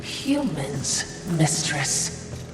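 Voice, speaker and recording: A woman's voice: deep voice, very animated, very slow, exaggerated voice. A woman voicing a sly, fawning demonic servant in a video game, silky, theatrical and obsequious. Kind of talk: dramatic video game acting. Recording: echoing reverb under music.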